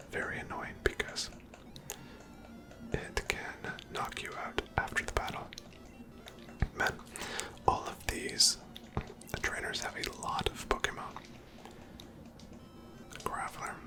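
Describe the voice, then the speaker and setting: A person whispering gentle, chatty ASMR commentary over a video game, breathy and close to the microphone. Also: slow, long pauses, over loud music. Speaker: gameplay host.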